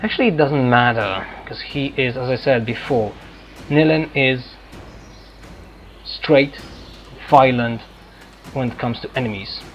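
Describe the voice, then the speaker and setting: A man giving casual game commentary, thinking aloud in a halting, hesitant way. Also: mid-range voice, dull audio. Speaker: gameplay streamer. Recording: game music behind. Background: video game music.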